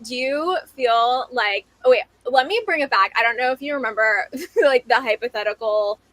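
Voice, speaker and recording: A woman's voice: on the high side, normal speed, a bit bright. A woman in her twenties from New Jersey, chatting and laughing easily on a speed date. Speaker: dating show contestant. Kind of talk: casual speed-date chat.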